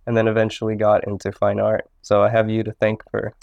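Male interviewer with a mid-pitched, gravelly voice, encouraging and attentive, in a dry room. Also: completely flat delivery, a little fast, darkish tone, no echo, dull audio. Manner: attentive, encouraging, short prompts. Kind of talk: casual interview.

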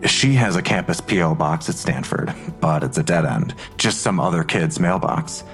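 A man's voice: sits low, lively intonation, normal speed, vocal fry, soft background music. An actor playing a matter-of-fact male investigator methodically laying out a case in an audio drama.

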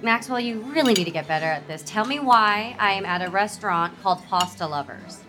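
An actress playing a tense songwriter with brittle politeness and dry sarcasm in an audio drama. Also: female voice, medium pitch, animated, fairly quick, music in the background, close to an everyday voice. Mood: dry, impatient. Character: Kay, tense female songwriter, brittle forced cheer, dry wit.

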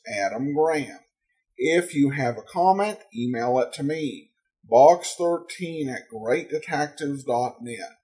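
A man with a fairly high voice hosts a podcast. He sounds informal and plainspoken as he runs through introductions and housekeeping. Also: dark tone. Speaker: podcast host.

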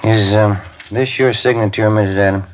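An actor playing a blunt police sergeant in a radio crime drama, pressing a suspect for the truth. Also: male voice, lowish voice, fairly even intonation, moderate pace, close to an everyday voice. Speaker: police sergeant, detective partner. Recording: dull lo-fi 1950s radio recording.

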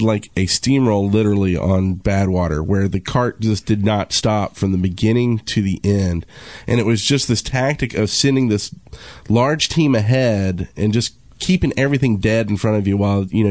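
A man's voice: lowish voice, fairly quick, hardly any pauses, a little mellow, dry recording. A man co-hosting a gaming podcast, telling stories in a casual, rambling way. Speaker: podcast co-host.

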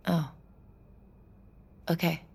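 An actress playing a troubled, gentle young woman in an audio drama, speaking softly and carefully with some hesitation. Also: very slow. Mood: hesitant, grave. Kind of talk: naturalistic audio drama acting.

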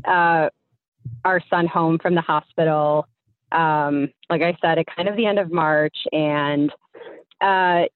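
A young American woman speaks over a narrow phone line. Her voice is a little low, and she tells her story casually, chatty and somewhat hesitant. The sound is thin and dry. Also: no echo. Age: young adult. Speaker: phone-in guest. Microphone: phone call.

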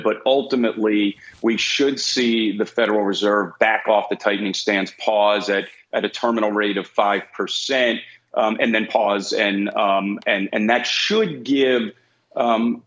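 A man giving a measured market outlook as an interview guest, with a few restarts. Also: lowish voice, a bit bright, lo-fi sound. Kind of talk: remote news interview.

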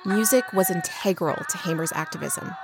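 A woman's voice: lowish voice, lively intonation, a little fast, over loud music. A woman reporter reading a scripted radio news feature.

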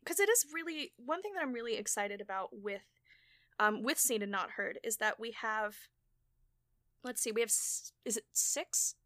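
A woman's voice: on the high side, expressive, normal speed, pushed and bright, dry recording, clean studio sound. A woman hosting a podcast, talking casually in a chatty way and often breaking into laughter. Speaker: podcast host and show creator.

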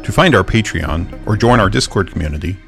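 Male announcer, low pitch, gravelly fry, scripted podcast narration, music underneath. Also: expressive, brisk.

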